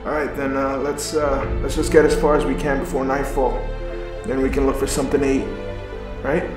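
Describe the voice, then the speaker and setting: A man's voice: mid-range voice, level tone, fairly quick, natural voice. Guy playing a tired sweary survivor telling his story, audio drama style, soundtrack under it. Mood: awkward, casual. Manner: casual, hesitant in dialogue, swearing. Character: sweary, weary male survivor trekking through snowy wilderness. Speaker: narrator and lead character. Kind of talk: first-person dramatic narration in an audio series. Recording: music bed under the voice. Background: soundtrack music.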